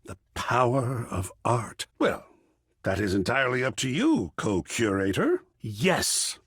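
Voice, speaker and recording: A man voicing an excitable, cultured art curator character in a video game, theatrical and erudite, with precise diction and a husky, breathy voice. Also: mid-range voice, unhurried, dry recording, studio quality, heavily acted. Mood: earnest, pleased. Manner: effusive, erudite, precisely enunciated, dramatic. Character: excitable, cultured art object come to life, gallery curator.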